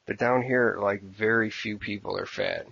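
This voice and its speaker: A man's voice: fairly low pitch, steady tone, moderate pace, vocal fry, a bit bright, breathy edge, dry recording. A man co-hosting a podcast, teasing his partner bluntly and swearing in casual banter. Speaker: podcast co-host. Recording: dry, dull lo-fi podcast audio.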